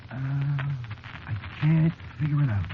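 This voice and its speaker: An actor playing an odd, bossy American license inspector in a 1940s radio drama, folksy and blustering, with a hesitant streak. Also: male voice, mid-range voice, a little slow, close to an everyday voice. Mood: puzzled. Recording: muffled, noisy vintage radio recording.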